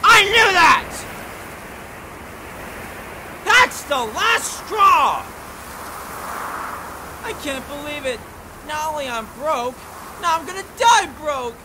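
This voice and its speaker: A man's voice: very high voice, lively intonation, slow, pauses often, full character voice. A man voicing a greedy, pompous, hot-tempered little cartoon creature in a melodramatic, indignant amateur character demo. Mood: furious. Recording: clear background wind and noise.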